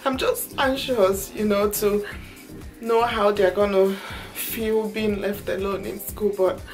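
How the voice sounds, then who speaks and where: A woman's voice: medium pitch, steady tone, takes it slowly, soft background music. Chatty woman vlogging to her camera. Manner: chatty, heartfelt, heavy on filler words like "you know". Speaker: mom vlogger. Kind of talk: casual vlog talk to viewers. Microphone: camera held at arm's length.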